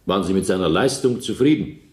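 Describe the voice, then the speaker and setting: Stern court judge, lowish voice, formal, authoritative, echoing room, radio drama. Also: male voice, lively intonation, fast talker, darkish tone. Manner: formal, interrogating. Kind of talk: German radio play courtroom acting. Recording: echoey 1970s radio drama studio.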